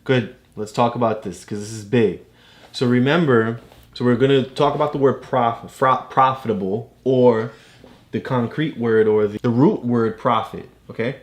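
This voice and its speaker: A woman's voice: a bass voice, normal speed. A woman casually explaining things to a child as a tutor, encouraging and often checking understanding with questions. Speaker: parent tutor guiding a child's reading.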